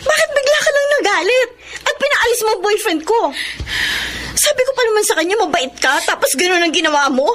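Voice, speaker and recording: An actress playing an excitable, easily hurt student daughter in an emotional Filipino radio drama. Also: female voice, very high-pitched, fairly quick, slight background noise. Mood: hurt, tearful. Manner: emotional, melodramatic.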